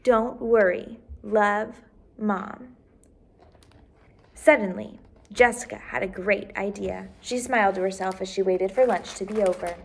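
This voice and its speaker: A woman's voice: mid-range voice, steady tone, normal speed, gravelly. A woman reading a children's picture book aloud as a storyteller, expressively and engaging her listeners with questions.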